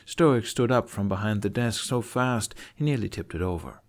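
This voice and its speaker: A man reading scripted fantasy fiction aloud as an expressive storyteller. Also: fairly low pitch, normal speed. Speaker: podfic narrator.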